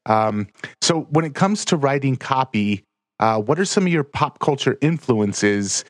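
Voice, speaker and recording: Male host from the U.S., mid-pitched, conversational and a bit hesitant, dry, close recording. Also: lively intonation, measured pace, dry recording. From United States. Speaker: podcast host. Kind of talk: casual interview questions.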